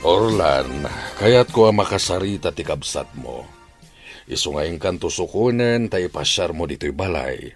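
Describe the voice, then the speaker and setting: A man playing a kindly, wealthy older employer in an Ilocano drama, speaking in a measured, deliberate way. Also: sits low, lively intonation, soft background music. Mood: kindly, earnest. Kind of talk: Ilocano audio drama acting.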